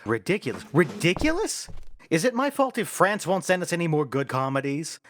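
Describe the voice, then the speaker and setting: An actor playing a pompous, bossy and exasperated theater manager in a radio play, declaiming theatrically. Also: male voice, a little high, sing-song, fairly quick, a bit bright, slight background noise. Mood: exasperated, lecturing.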